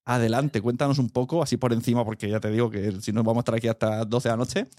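A male podcast host with an accent from Spain and a medium-pitched voice. He talks casually and colloquially, with a joking streak. The sound is dry, with no room echo. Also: fairly even intonation, quick pace, warm tone. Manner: informal, colloquial, jokey. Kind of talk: casual interview chat.

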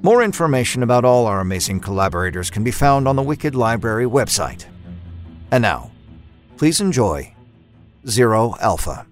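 A man's voice: mid-range voice, sing-song, normal speed. A man hosting a horror fiction podcast, reading a scripted introduction with a theatrical, dramatic delivery. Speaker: podcast host. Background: music under the voice.

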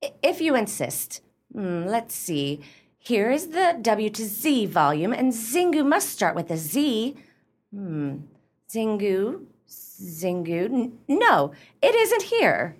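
Radio play role: easily scandalized bookish lady woman actor, mid-pitched, very varied intonation, proper manner. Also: sing-song, takes it slowly. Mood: searching. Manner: prim, hesitant, proper. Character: prim, pedantic book club lady, easily scandalized.

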